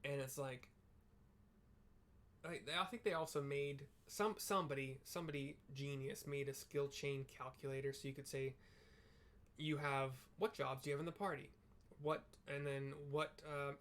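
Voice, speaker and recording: A man's voice: mid-range voice, a bit bright. A man giving casual, rambling gameplay commentary as a streamer, explaining things informally as he thinks aloud. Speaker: video game streamer.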